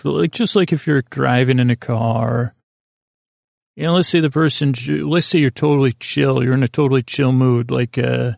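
Male bedtime podcast host, creaky voice, close dry sound, soothing and rambling, often breaking off mid-thought. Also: medium pitch, a little mellow, no room sound. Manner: meandering, hesitant, self-interrupting; lulling, soothing delivery.

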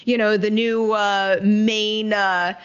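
A woman's voice: mid-range voice, flat delivery, slow pace, clean tone, highs cut off. A woman hosting a casual entertainment news show, chatting in an enthusiastic, effusive way into a studio microphone. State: a little sleepy. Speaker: show host.

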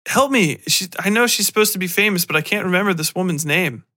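A man with a fairly high, very bright and forceful voice talks off the cuff as a podcast host. He rambles through film commentary, sarcastic and exasperated, swearing freely. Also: quick pace.

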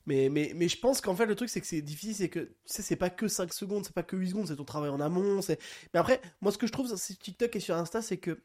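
A man's voice: sits high, lively intonation, fast, darkish tone. A young man hosting a casual podcast, chatty and steering the talk along. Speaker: podcast host. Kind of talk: casual chat.

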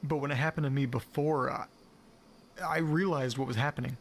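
Man acting a halting, troubled diarist over background noise. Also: mid-range voice, fairly even intonation, gravelly, natural voice. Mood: uneasy, puzzled. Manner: halting, brooding, swearing, repeating words. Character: bewildered, frustrated man recording a confessional audio diary. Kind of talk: audio drama monologue, naturalistic.

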